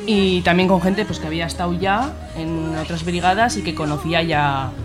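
A woman's voice: lowish voice, moderate pace, over music. A woman, a guest in a radio interview, speaking hesitantly with many filler words.

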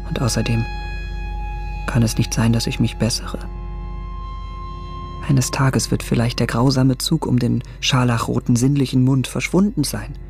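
An actor playing a vain, secretive young gentleman in a radio drama, speaking in polite, refined, formal phrases. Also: medium pitch, fairly even intonation, a little slow, gravelly, pauses often, faint music behind. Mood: brooding, intense. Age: young adult. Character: vain, secretive young gentleman, outwardly courteous, inwardly brooding.

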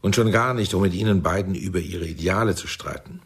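A commanding spy chief in a radio drama. His voice is low and husky, and he talks in a measured, formal way with a sardonic, persuasive edge. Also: full character voice. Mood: politely measured. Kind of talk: German radio play acting.

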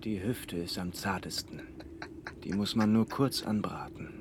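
An actor playing an easygoing polar expedition shipmate in a radio play, the joking and comforting sort. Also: male voice, lowish voice, fairly even intonation, a little slow, background noise, close to an everyday voice.

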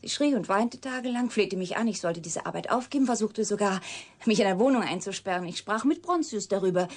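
An actress playing a reclusive, wounded young woman scientist in a radio drama, guarded yet confiding, speaking breathily and deliberately, often sinking to a whisper. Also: mid-range voice, animated, close to an everyday voice. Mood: sorrowful, intimate. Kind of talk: German radio drama acting.

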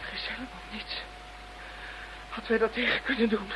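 A woman's voice: on the high side, steady tone, normal speed, background noise, natural voice. An actress playing a defiant, frightened captive woman in a radio drama, emotional and outspoken. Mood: despairing.

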